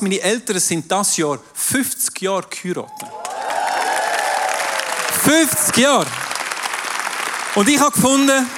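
A man's voice: sits high, noisy background. Male pastor, Swiss German accent, French his first language, emphatic sermon delivery, long hall reverb. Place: large hall with audience. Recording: distant voice in a reverberant hall with crowd.

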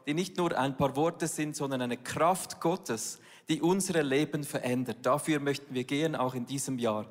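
A church leader giving a personal, earnest talk at a service. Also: female voice, low-pitched. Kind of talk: sermon-style church talk. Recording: long hall reverb.

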